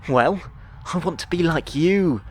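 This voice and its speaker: A man's voice: fairly high pitch, animated, a little slow, pitched above the performer's own voice. A man voicing a male expedition member in a dramatised sci-fi audiobook, speaking with intense emphasis. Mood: manic glee. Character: male expedition member, sceptical, turning madly gleeful.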